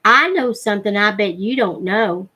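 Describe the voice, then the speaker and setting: A sceptical young country boy, acted by a woman reading a storybook, argues back in rural dialect. The voice has a husky edge and a challenging manner. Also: mid-range voice, normal speed, pushed and bright.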